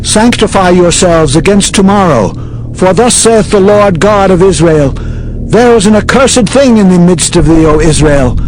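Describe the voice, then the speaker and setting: A man's voice: high-pitched, rough recording. A man playing a grave, fervent leader of ancient Israel in dramatized scripture, declaiming solemnly. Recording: music behind the voice.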